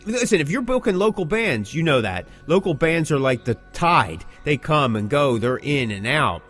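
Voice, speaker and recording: A man's voice: a little high, fairly bright tone. A man hosting a podcast in casual, opinionated chat, throwing out rhetorical questions and punchy repeated phrases. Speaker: podcast host.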